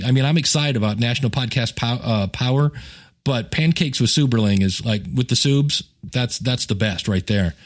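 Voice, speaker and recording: Chatty, joking man hosting a podcast. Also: mid-range voice. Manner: chatty, joking, self-deprecating, rambling asides. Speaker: podcast host and podcasting teacher. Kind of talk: casual solo podcast talk with plugs and announcements.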